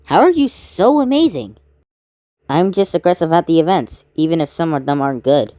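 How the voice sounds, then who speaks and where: A woman doing amateur voice acting for a fan-made animated cartoon. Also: low-pitched, moderate pace, dry recording, clean studio sound.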